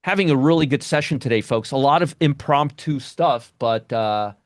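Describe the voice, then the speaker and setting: A man's voice: medium pitch, moderate pace, no room sound. Man, options trading educator, live webinar host, dry close mic, repeats points, keeps checking listeners follow.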